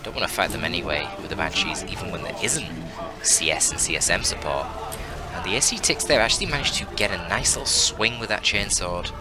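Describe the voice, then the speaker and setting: A man's voice: sits low, expressive, a little fast, over loud music. A man with a bunged-up voice giving casual, sweary, rambling commentary on a video game match with joking asides. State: congested, bunged-up voice.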